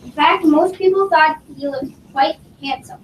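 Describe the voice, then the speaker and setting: High-voiced child reading aloud, far off. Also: normal speed. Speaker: student performer. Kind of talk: school reader's theater reading. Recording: echoey noisy room, distant microphone.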